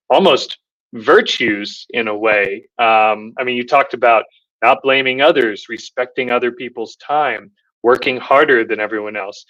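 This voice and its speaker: Guy hosting, calm summing up, lots of ums, kinda dull lo-fi audio. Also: mid-range voice. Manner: thoughtful, many filler ums. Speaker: host. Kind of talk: interview moderating, summarizing.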